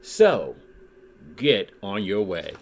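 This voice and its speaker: A man reading a rhyming children's book aloud in an expressive, deliberate way, pausing dramatically for emphasis. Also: fairly low pitch, slight background noise. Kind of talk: expressive storybook read-aloud in rhyme.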